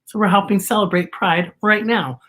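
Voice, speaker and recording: A woman's voice: medium pitch, fairly even intonation, moderate pace, a bit bright. A woman hosting a children's story time in a playful, theatrical, sing-song manner. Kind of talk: children's read-aloud show presenting.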